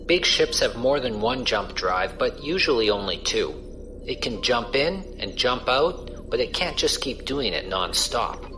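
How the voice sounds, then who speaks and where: A man's voice: mid-range voice, close to an everyday voice. Male actor as space pirate crewman, wry, colloquial, explanatory, sci-fi audio drama, music behind. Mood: wry, resigned. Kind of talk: science fiction audio drama dialogue.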